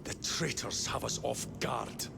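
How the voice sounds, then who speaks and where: An actor playing a grave, weary military captain with a gravelly voice in a cinematic video game. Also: male voice, medium pitch, a little slow, background noise. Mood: grave, worried.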